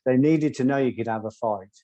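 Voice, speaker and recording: A soft-spoken man, a guest telling stories in an interview in a measured, articulate way. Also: medium pitch, dry recording, dull audio. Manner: measured, articulate, occasional ums. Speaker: guest, former doorman. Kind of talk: reflective interview storytelling.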